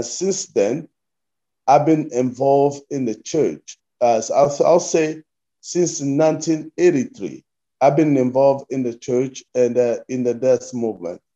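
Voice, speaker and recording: A man in his fifties with a Congolese accent, a pastor answering interview questions haltingly and repeating his phrases as he goes. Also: mid-range voice, warm tone, highs cut off. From Democratic Republic of Congo. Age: 50s. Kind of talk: interview answers, recounting life story.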